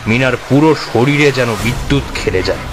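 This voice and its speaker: A man playing a middle-aged villager who gravely tells of a local curse in an audio horror story. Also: mid-range voice, moderate pace, natural voice. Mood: grave, ominous. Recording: loud music under the voice.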